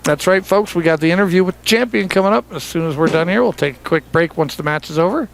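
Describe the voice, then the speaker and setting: A man's voice: high-pitched, fast, vocal fry, a little hiss or hum. A man doing live bowling commentary in a joking, chatty way, teasing his partner and laughing often. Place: bowling center.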